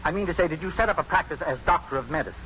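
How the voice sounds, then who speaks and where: Stern academic inquisitor, highish voice, formal and pompous, 1950s radio play, muffled recording. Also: male voice, very fast, sharp tone, slight background noise. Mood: prosecutorial. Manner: pompous, formal, pressing questioner. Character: stern accusing male medical professor, pompous and prosecutorial. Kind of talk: 1950s radio drama acting.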